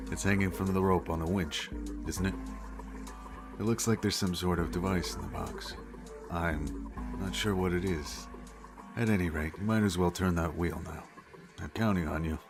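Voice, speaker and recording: A man playing a courteous, analytical character in an amateur game dub, speaking formally and with measured politeness. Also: low-pitched. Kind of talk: amateur visual novel game dub acting.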